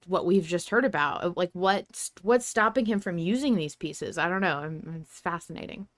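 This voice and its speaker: A woman's voice: lowish voice, normal speed, no echo, clean studio sound. A woman hosting a podcast, talking casually about a story with others. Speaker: podcast host.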